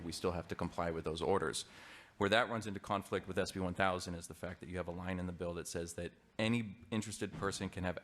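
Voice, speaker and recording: A man with a deep voice gives formal testimony as an association representative. He is methodical and explanatory, walking listeners through his reasoning. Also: a bit bright. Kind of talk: formal public testimony at a legislative hearing.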